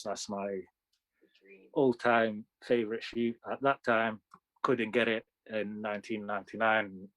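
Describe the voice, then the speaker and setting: A man's voice: fairly low pitch, no echo, poor audio. A man in his thirties from Sudan, chatting casually and telling his story as an interview guest. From Sudan, moved to the UK when young. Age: 30s. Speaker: interview guest, sneaker collector.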